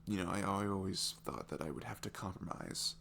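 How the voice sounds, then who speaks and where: A man playing a low, creaky-voiced smitten boyfriend. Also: slight background noise. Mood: soft, vulnerable. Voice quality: low, creaky voice, often dropping toward a whisper. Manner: halting, musing, frequent "you know" and soft chuckles. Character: smitten, vulnerable young neurodivergent boyfriend meeting online love. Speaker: ASMR audio roleplay performer. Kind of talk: intimate ASMR boyfriend roleplay monologue.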